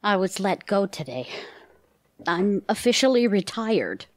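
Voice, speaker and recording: An actress playing an exasperated, newly retired older career woman, sharp-tongued and indignant, in an audio comedy. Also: mid-range voice, big pitch swings, a little slow, no echo. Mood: dejected.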